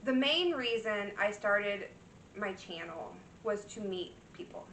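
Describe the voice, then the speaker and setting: Chatty bright-voiced woman in echoey room. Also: mid-range voice, lively intonation, takes it slowly, slight background noise. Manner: rambling, chatty, full of fillers and restarts. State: slightly worn voice. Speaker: cross-stitch vlogger. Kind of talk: casual show-and-tell chat. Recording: echoey room, mic at a distance.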